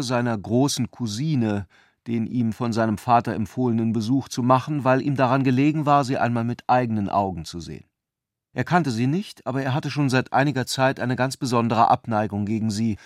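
Man, literary narrator, radio play, measured articulate reading, mid-pitched, dry sound. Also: no room sound.

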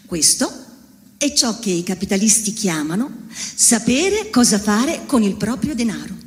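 A woman giving a deliberate, declamatory theatrical reading of literary prose. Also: medium pitch, sing-song. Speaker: theatre performer reading a political text. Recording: reverberant hall.

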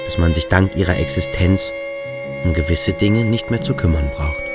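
An actor playing a pensive, observant military schoolboy in a measured, introspective radio play monologue. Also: male voice, sits low, loud music behind the voice, close to an everyday voice.

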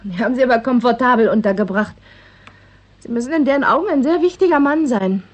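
Casting: self-assured young woman, twenties, radio play, frank and playful, slightly high register with a breathy rasp. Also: expressive, quick pace. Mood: probing. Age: 20s. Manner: teasing, frank. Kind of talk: 1960s German radio play acting.

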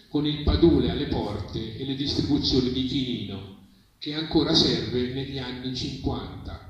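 Echoey, distant man reading literature aloud. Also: mid-range voice. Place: live public reading, heard from a distance. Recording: echoey, distant, noisy live event recording.

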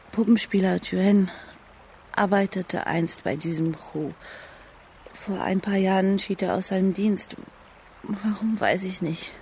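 An actress playing a blunt, spirited young puppeteer's daughter in a historical crime radio drama. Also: female voice, medium pitch, creaky, mellow, a little hiss or hum. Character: feisty, plain-spoken young woman, a puppeteer's daughter.

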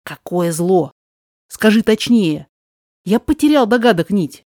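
A woman's voice: medium pitch, animated, measured pace, darkish tone, no echo, clean studio sound. A woman voicing a blunt, insistent younger man in a rhymed audio drama, pressing impatiently with questions and orders. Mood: puzzled, demanding. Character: impatient, forthright younger man, sorcerer's companion.

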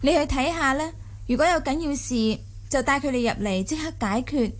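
A woman's voice: high-pitched, normal speed, close to an everyday voice. A woman playing a young, shrewd, imperious mistress of a rich household in a Cantonese radio drama. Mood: put-upon. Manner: imperious, smoothly sociable.

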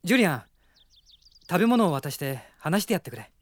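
A man's voice: a little high, big pitch swings, fairly quick, dry recording. A man playing a courteous, earnest young prince in a Japanese radio drama, speaking politely and with composure. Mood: decisive.

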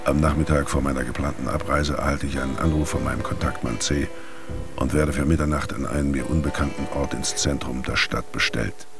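A weary investigator with an extremely low voice. He records his field log for a radio drama with dry irony, over clearly audible music. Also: fairly even intonation, fairly quick. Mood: dry, wry. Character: male investigator dictating a dated field log, wry, weary. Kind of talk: German radio drama, scripted log narration. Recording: music under the voice.

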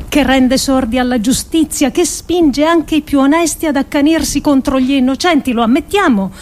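High-voiced woman delivering a radio monologue. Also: a little mellow, a little hiss or hum. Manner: rhetorical, deliberate, with emphatic pauses. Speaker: actress reading an adapted essay on radio. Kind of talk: dramatic scripted monologue for radio.

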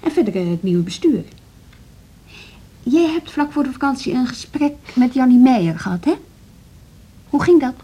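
Animated, husky Dutch school leader. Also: female voice, high-pitched, normal speed, pauses often. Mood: eager, persuasive. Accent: Netherlands Dutch. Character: assertive, animated woman on a music school's leadership team. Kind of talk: radio drama acting.

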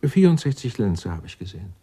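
A man's voice: lowish voice, very animated, a little fast, dark tone, breathy edge. An actor playing an eccentric, indignant, self-righteous clerk in his sixties in a radio drama, speaking in a florid, pompous, theatrical way. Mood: self-satisfied. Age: 60s. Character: eccentric, indignant 64-year-old Dutch tax-law clerk, self-righteous. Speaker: murder suspect being questioned.

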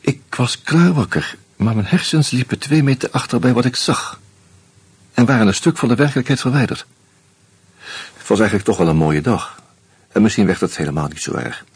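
An actor playing an anxious out-of-work husband who narrates in the first person, wry and hesitant, in a radio play. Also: male voice, medium pitch, animated, brisk, breathy edge, no room sound.

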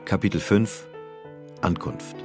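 A man's voice: low voice, slow. A man narrating a documentary in a formal, announcing manner. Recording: music underneath.

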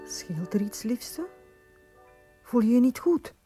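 A woman acting in a radio drama, playing a devoted dwarf noblewoman, a loving wife and mother, in a tender, expressive way. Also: fairly high pitch, slow pace, loud music behind the voice. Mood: concerned.

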